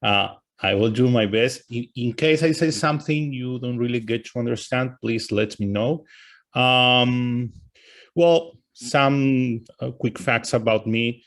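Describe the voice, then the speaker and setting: A man's voice: mid-range voice, measured pace. An Argentine man, a native Spanish speaker and cantor, teaching informally over a video call and searching for his words in English with an Argentine Spanish accent. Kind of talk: informal lecture on a video session.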